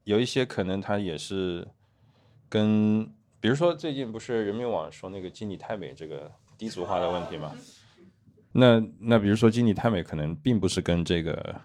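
A man in his thirties or forties, a former editor, on a casual podcast. His voice sits on the low side. He explains things patiently, stacking example after example and checking in with "right?" as he goes. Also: darkish tone. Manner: measured, explanatory, lists examples with "对吧".

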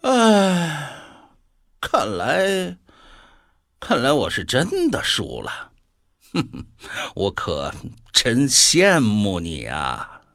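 An actor playing a sardonic, world-weary devil in an audio drama, musing deliberately with sighs. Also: male voice, mid-range voice, wildly swooping pitch, vocal fry, fairly bright tone, no room sound, full character voice. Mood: sighing, wistful.

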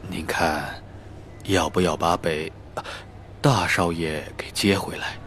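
A man acting a very deep, unhurried servant. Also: fairly even intonation, a little hiss or hum. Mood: careful, deferential. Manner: measured, obsequious. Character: deferential, discreet, coolly sinister family servant, male. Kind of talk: Chinese audio drama acting. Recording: soft music behind the voice.

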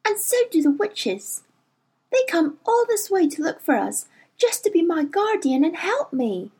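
A woman's voice: very high-pitched, sing-song, a little slow, darkish tone, voice pushed up, full character voice. A woman reading an audiobook, voicing a spirited, stubborn girl of about twelve who talks hotly and defiantly. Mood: heated. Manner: hot-tempered, defiant, rough-spoken.

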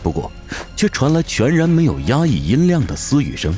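A man's voice: mid-range voice, wildly swooping pitch, moderate pace, dark tone. A man narrating a fantasy audio drama from a script in a dramatic storytelling style. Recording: prominent music behind the voice.

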